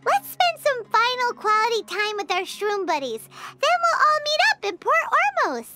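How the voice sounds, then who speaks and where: Tiny bossy fairy sidekick, very high and squeaky, bouncing all over in pitch, game music underneath. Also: female voice, normal speed. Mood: cheerful. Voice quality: squeaky, childlike. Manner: excitable, refers to self in third person. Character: small childlike chirpy female fairy companion, bubbly and bossy. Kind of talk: video game voice acting.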